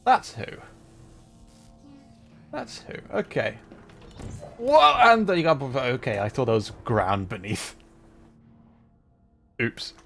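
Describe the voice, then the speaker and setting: A man gives casual, loose commentary while livestreaming a game. His voice sits at a middling pitch, often half talking to himself, with faint music behind him. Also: huge pitch swings, slow, vocal fry, long pauses, a bit bright. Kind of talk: casual gameplay commentary and chat.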